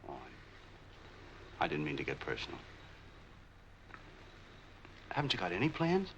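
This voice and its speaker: Male, American, helpful street peddler in old noir film; quick, slangy, lively delivery, excitable. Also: sits low, vocal fry, lo-fi sound, full character voice. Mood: helpful, eager. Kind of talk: 1940s film noir acting. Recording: faintly noisy 1940s film soundtrack.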